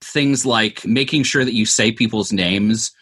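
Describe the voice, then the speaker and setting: A man with a lowish voice answers interview questions in a conversational way. He explains his points thoughtfully, adding asides as he works through them. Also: measured pace, fairly bright tone, husky. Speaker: interview guest, audio drama maker.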